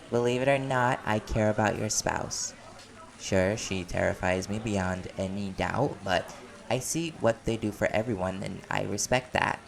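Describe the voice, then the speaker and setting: A man's voice: fairly low pitch, vocal fry. An actor playing a playful, caring man in a chatty, teasing audio roleplay conversation. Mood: warm, playful. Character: silly, playful, caring man at a community party.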